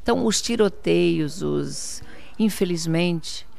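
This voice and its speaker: A woman's voice: on the low side, slow pace, vocal fry, darkish tone. An older woman from Portugal, answering an interview as a city councillor, insistent and repeating her points for emphasis.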